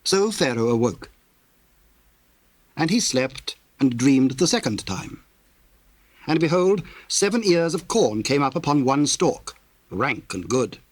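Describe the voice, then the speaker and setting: A man with a British accent giving a measured, solemn narration of scripture in archaic English. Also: medium pitch, dry recording.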